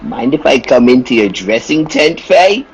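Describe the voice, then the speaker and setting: An actor playing a troubled, secretive circus clown in an old-time radio play, speaking deliberately and ominously. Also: male voice, medium pitch, sing-song, a little hiss or hum. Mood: secretive, tense. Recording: dull, lo-fi call audio.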